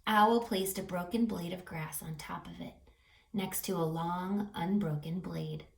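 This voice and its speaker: A woman reading a picture book aloud for children, animated, pausing to ask questions and dropping to hushed tones. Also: fairly low pitch, creaky. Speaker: read-aloud storyteller for children. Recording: roomy echo, distant mic, music behind.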